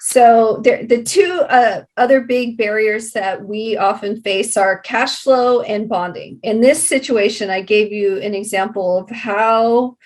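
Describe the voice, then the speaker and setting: A woman's voice: on the high side, a little slow, few pauses. A woman methodically explaining things point by point in a panel discussion as a project representative. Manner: methodical, enumerates points step by step. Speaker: project representative answering questions.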